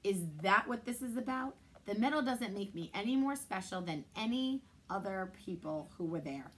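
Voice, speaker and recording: Woman playing a loud, cranky old veteran, gruff and curt, for a chapter-book read-aloud. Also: mid-range voice, expressive, normal speed, natural voice. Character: gruff grumpy old man, war veteran, stubborn.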